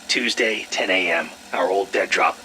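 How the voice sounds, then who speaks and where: An actor playing an irritable, slippery scientist, sarcastic and foul-mouthed, in a video game audio log. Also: male voice, sits low, unhurried, sharp tone, slight background noise, exaggerated voice, low-quality recording. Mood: sly, pressing. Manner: sarcastic, blustering, swearing.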